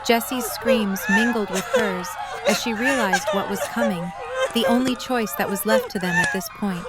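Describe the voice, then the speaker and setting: A woman's voice: medium pitch, sing-song, normal speed, heavy background noise. A woman reading scripted story narration as the narrator of an audio drama.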